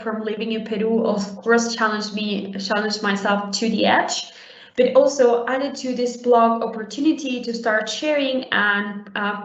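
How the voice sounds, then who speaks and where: A young adult woman from Uruguay giving a conversational conference talk, with many fillers and restarts. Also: on the high side. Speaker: conference speaker. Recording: roomy, dull lo-fi sound.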